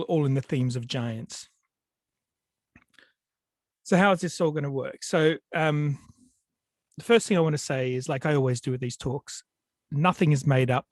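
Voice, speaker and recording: A man's voice: medium pitch, darkish tone, no room sound. A man with a head cold, giving a storytelling talk over a video call, with lots of fillers and restarts. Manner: hesitant, many fillers and restarts. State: sniffly with a cold.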